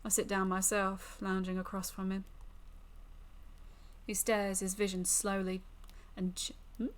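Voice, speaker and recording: A woman's voice: medium pitch, completely flat delivery, unhurried. A woman streamer reading a visual novel aloud as dramatic story narration.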